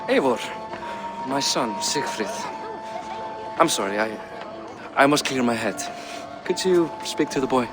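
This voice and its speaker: An actor playing a proud, exasperated middle-aged Norse king and father in a video game, expressive and commanding. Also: mid-range voice, a little slow, pauses often, over music, natural voice. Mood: rueful. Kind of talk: video game cutscene acting.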